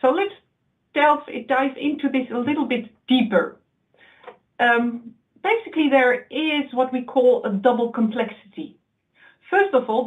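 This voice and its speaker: Woman presenting over tinny call audio. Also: a little high. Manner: measured, explanatory, with occasional slips and restarts. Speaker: research presenter. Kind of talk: webinar slide presentation.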